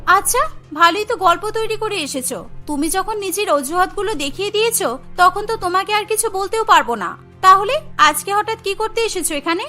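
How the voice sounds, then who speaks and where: Woman voicing a bitter young food seller, very high-pitched. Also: expressive, fairly quick, pushed and bright, soft background music, heavily acted. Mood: angry, sarcastic. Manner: reproachful, emphatic.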